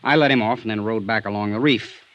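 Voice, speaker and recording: A man's voice: lowish voice, close to an everyday voice. An actor playing a defensive, earnest young man under suspicion in a vintage radio drama. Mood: earnest recounting. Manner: defensive, earnest, quick to bristle. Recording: dull, band-limited old radio recording.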